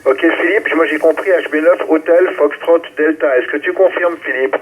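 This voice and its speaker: A man's voice: fairly high pitch, normal speed, breathy edge. A man acting as amateur radio net controller, talking informally and calling stations over a radio link. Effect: ham radio transmission. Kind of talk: running a ham radio round-table, call signs. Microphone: radio transceiver microphone. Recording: muffled narrow-band amateur radio relay.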